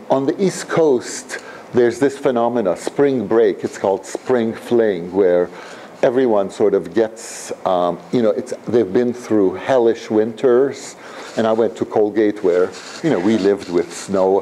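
An older man born and raised in Iran, telling his life story to a lecture room, relaxed and rambling, with lots of fillers and restarts. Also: lowish voice, slight background noise, low-quality recording. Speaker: guest speaker. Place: lecture room with projector screen.

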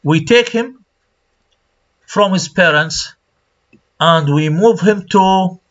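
A man's voice: high-pitched, slow pace, fairly bright tone. A man with an Iraqi Arabic accent giving a recorded online lecture. He repeats key phrases and puts rhetorical questions to his students. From Iraq. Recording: dull lo-fi screen-recorded lecture audio.

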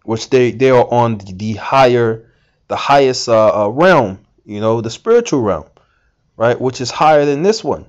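A man's voice: medium pitch, lively intonation, normal speed, muffled recording. A man giving informal scripture teaching, rambling with many fillers and restarts. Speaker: Bible teacher.

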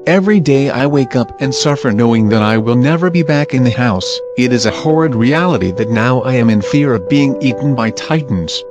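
A man's voice: mid-range voice, expressive, normal speed, few pauses, dull audio, close to an everyday voice. Man playing a melodramatic washed-up sitcom star. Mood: melodramatic despair. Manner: self-pitying, melodramatic, wordy. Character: washed-up male sitcom star stranded in an anime, despairing. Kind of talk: theatrical comedic monologue, anime parody dub. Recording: music behind the voice.

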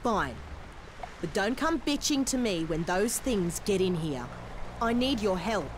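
A practical, testy woman mechanic among game survivors, played by an actress, in a medium-pitched voice. She is blunt, with clear background noise around her. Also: expressive, unhurried, lightly acted. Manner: blunt, no-nonsense. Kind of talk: video game voice acting.

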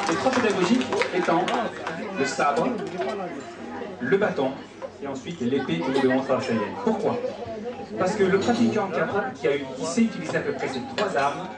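A man giving live commentary as a martial arts master at a demonstration, explaining instructively in short phrases. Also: a little high. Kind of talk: live spoken commentary for an audience. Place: restaurant hall during a public demonstration. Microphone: handheld microphone, heard through a far camera. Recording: distant camera, echoey room, loud crowd.

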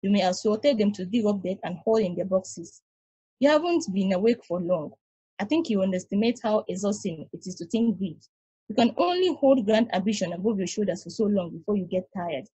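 A weary ancient space probe, read by a woman, talks with calm, patient reasoning. The voice is mellow and slightly husky. It sounds dry and close, like a video call. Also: mid-range voice, fairly quick, no room sound, poor audio. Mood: reflective. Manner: calm, reasoning, explaining at length.

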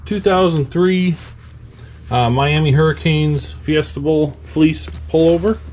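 A man's voice: fairly high pitch, fairly even intonation, slow, a little mellow. An American man talking casually as a reseller showing his finds. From United States. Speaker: reseller and vlog host. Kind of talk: casual haul chat. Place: cluttered indoor workroom. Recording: noisy room, clinking items handled.

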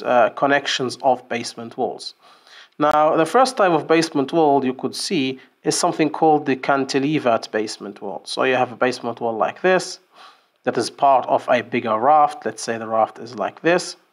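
A man teaching an engineering lesson over a screen-share drawing, explaining step by step with fillers and restarts. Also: mid-range voice. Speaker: engineering instructor. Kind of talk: whiteboard tutorial. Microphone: screen recording voice-over.

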